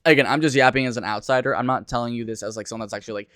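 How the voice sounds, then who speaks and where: A young man with a smooth, clear voice in a very dry recording. He talks like an online reviewer, casual and rambling, and leans on words for emphasis. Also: medium pitch, fairly even intonation, rapid-fire, a bit bright, dry recording. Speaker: video reviewer and streamer. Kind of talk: casual reaction commentary.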